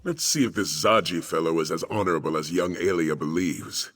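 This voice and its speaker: A man playing a grave, courteous veteran captain in a video game, speaking in a formal, measured way. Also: low-pitched, a bit bright, slight background noise. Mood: calm, companionable. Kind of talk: video game character dialogue.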